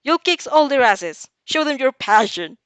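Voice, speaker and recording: Woman voicing a loud excitable teenage boy hero. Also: high voice, unhurried, clear, resonant tone, dry recording, pitched above the performer's own voice. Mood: bellowing, excited. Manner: bellowing, exclaiming.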